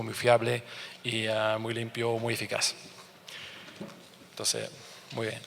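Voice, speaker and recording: A halting French Canadian man speaking in a reverberant conference hall. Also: medium pitch, creaky, bright, forceful tone. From France and Canada. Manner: halting, searching for words, many restarts. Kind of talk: conference presentation.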